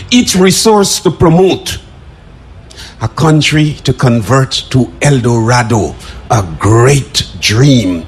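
A man performing a dramatic spoken-word poem in a declamatory, drawn-out delivery. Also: a little hiss or hum. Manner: declamatory, drawn-out delivery with big swings in pitch.

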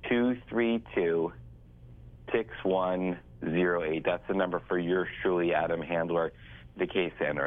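A congested, stuffed-up man speaking as an attorney on a radio call-in, chatty and salesy, often repeating himself. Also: low-pitched. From northeast United States. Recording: phone line.

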